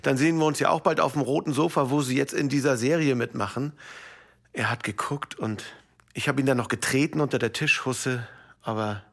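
A man's voice: medium pitch, steady tone, a little fast, vocal fry, a bit bright. A man playing a self-justifying, pompous and evasive journalist in a radio comedy mock interview. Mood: indignant.

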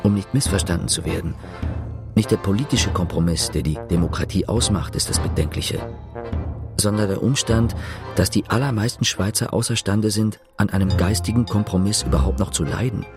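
An actor playing a sober, detached narrator in a German literary radio drama, measured and dryly ironic. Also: male voice, on the low side, over music, lightly acted. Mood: ironic, reflective.

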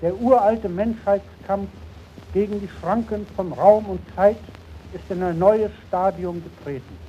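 A man's voice: sits high, measured pace, dark tone. A man giving a formal prepared speech in a solemn, declamatory way on an old radio recording. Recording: noisy muffled early radio archive recording.

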